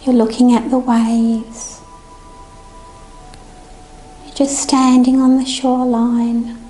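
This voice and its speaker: Slow, high-voiced woman guiding meditation. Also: level tone, poor audio. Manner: gentle, soothing, unhurried instructions. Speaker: meditation guide. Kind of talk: guided meditation. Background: music.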